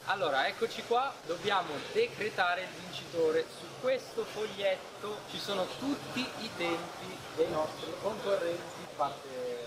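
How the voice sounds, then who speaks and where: Young man doing excited boat race commentary. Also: high-pitched, measured pace, few pauses, noisy background. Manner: counts down and shouts the start, builds excitement. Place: outdoors on a boat at sea, then a boat show stand.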